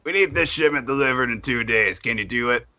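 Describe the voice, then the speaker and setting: A man's voice: mid-range voice, a little fast, bright, forceful tone, no room sound, natural voice. A man voicing hearty, hammy bit characters, exclaiming his way through a comic fandub. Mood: brisk, businesslike. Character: hearty carriage traveler and brisk freight boss.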